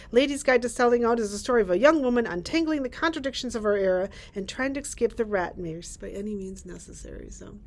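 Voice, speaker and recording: Higher-voiced woman reading book blurbs. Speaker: librarian presenting book picks. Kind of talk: reading book blurbs aloud with brief chat. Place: indoors, library room with bookshelves.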